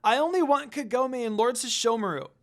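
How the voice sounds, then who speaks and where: Little girl, put on by a narrator, high pitch, loud, stubborn, indignant, feisty, anime fan story. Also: expressive, brisk, close to an everyday voice. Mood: angry, defiant.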